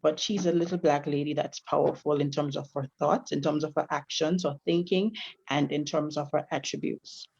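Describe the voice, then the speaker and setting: A man from Grenada with a voice that sits a little high speaks earnestly and reflectively on a video call. He often stops and restarts. His audio is slightly muffled. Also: fairly even intonation, normal speed, creaky, darkish tone, husky. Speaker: Grenada Day committee member. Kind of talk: panel discussion on a video call.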